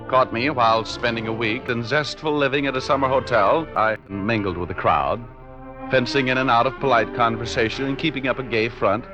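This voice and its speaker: An actor playing a world-weary private detective, wry and deadpan, in 1940s radio style. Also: male voice, medium pitch, muffled recording, natural voice. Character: world-weary hard-boiled male private detective narrating. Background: music.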